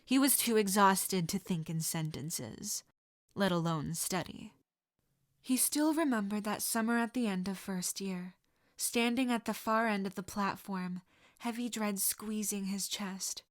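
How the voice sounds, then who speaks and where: A woman reading fan fiction aloud as an audiobook narrator, steady and expressive. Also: medium pitch, a little slow. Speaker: podfic reader.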